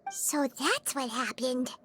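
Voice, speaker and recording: A woman voicing a tiny, eager, childlike fairy companion with a squeaky voice in a video game. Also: lively intonation, slow pace, fairly bright tone, full character voice. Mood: thoughtful. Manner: refers to self in third person, perky. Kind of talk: video game character acting. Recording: noisy game capture with clicks.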